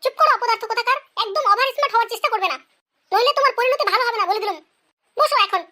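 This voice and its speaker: Someone doing a strict lady teacher from a comedy cartoon, super high voice, rattles along fast, bossy. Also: pushed and bright, full character voice. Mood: scolding. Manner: bossy, scolding, questioning. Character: strict scolding female schoolteacher in a comedy cartoon. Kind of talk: animated comedy skit dialogue.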